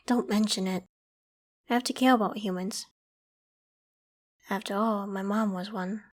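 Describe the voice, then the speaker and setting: A woman's voice: medium pitch, lightly acted. A woman voicing a friendly, self-conscious young half-human werewolf who talks casually and protectively to the listener in an ASMR roleplay. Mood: caring, earnest. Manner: casual, conversational, gently protective. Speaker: voice actor in audio roleplay.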